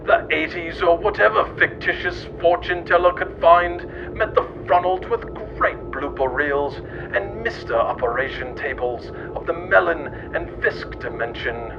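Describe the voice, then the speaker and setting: Male narrator for absurd mock history, high register, recorded with clear rumbling noise. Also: unhurried, few pauses. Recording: muffled, noisy recording with low rumble.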